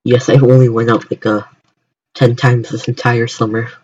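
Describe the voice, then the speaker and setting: Young American male streamer, casual and halting delivery, fairly flat pitch, slightly lo-fi sound. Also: breathy edge, no room sound. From United States. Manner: halting, repetitive starts. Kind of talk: casual chat on a livestream.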